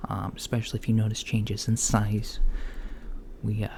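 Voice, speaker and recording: A man doing a soft-spoken, partly whispered ASMR roleplay as a calm, reassuring doctor, murmuring hesitantly with long pauses. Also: on the low side, creaky. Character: calm reassuring male alien doctor at a checkup. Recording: lo-fi with faint background noise.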